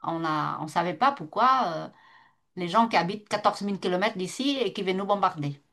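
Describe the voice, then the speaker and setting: A woman's voice: fairly low pitch, expressive, fairly quick, a bit bright. A retired woman from northern Vietnam, speaking French with a Vietnamese accent, who talks as a guest in an online debate, with many fillers and restarts. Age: older.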